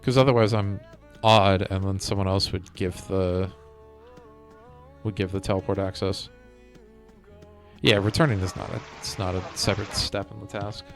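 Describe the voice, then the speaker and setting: A man's voice: sits low, fairly even intonation, moderate pace, long pauses. A man streaming a game, chatting casually and thinking aloud to his viewers. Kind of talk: casual chat while playing a game. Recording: music behind the voice.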